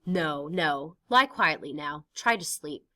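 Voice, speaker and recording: A gentle, patient middle-aged father, voiced by a woman reading a play. He speaks calmly and soothingly in a bright, clear tone, close to the reader's own voice. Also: low-pitched, slow pace, heavily acted. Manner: calm, soothing.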